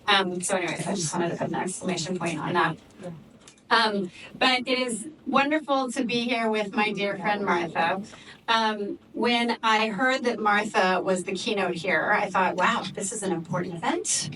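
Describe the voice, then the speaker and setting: A woman's voice: medium pitch, normal speed, a crowd in the background. Enthusiastic woman moderator, far from mic. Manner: enthusiastic, many ums. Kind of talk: introducing a guest at a fireside chat. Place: office event space, live audience. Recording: distant mic with background noise.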